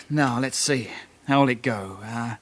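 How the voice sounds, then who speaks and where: An actor playing a methodical, dry British detective constable in a radio drama, official and deliberate. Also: male voice, medium pitch. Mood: casual, deliberate. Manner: deliberate, official, casually pressuring.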